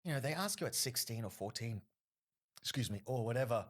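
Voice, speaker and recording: A man's voice: mid-range voice, animated, a little fast. A man, a comedian guest telling long stories in a casual podcast interview, with many fillers. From Australia. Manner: rambling storyteller, frequent fillers.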